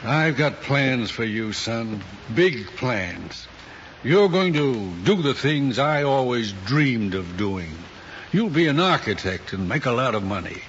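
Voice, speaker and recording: A man's voice: mid-range voice, lively intonation, takes it slowly, fairly bright tone. A middle-aged man acting a tired, ambitious father, insistent and dismissive of objections, in 1950s radio drama style. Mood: pushy, intent. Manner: insistent, brushing objections aside. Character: tired, ambitious, pushy father living through his son. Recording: muffled, faintly noisy old radio recording.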